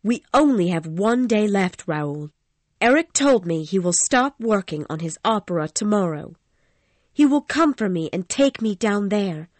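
A man reading a story and voicing a frightened young woman singer who pleads anxiously in a raised falsetto. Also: lively intonation, measured pace, no room sound. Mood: pleading.

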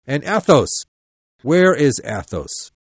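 A man playing a stern, proud, hot-tempered older captain of musketeers in an audiobook, with a forceful, commanding manner. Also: a little high, big pitch swings, takes it slowly, no room sound, heavily acted. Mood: furious, scolding. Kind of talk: audiobook dialogue acting.

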